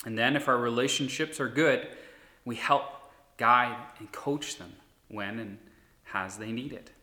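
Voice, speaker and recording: A man in his thirties, a pastor, giving a sermon in an earnest, steady preaching manner. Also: mid-range voice, unhurried. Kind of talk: recorded sermon. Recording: echoey room.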